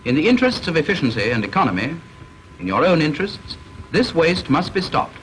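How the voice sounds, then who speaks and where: A man with a British accent giving formal scripted narration for a training film, measured, instructive and stern, on a vintage soundtrack with faint hiss. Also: a little high, very animated, a bit bright, low-quality recording.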